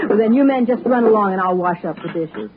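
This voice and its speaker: A woman's voice: mid-range voice, a little fast, phone-quality sound, lightly acted. An actress playing a homely small-town woman with a scheming streak in a 1960s radio drama. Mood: sweet, hospitable. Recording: thin, slightly noisy vintage radio recording.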